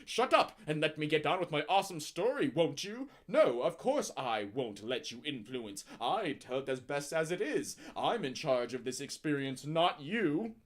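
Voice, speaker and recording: A man's voice: fairly high pitch, big pitch swings, moderate pace, hardly any pauses. Man playing a bossy, self-important talking video game. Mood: imperious, irritated. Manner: imperious, dismissive.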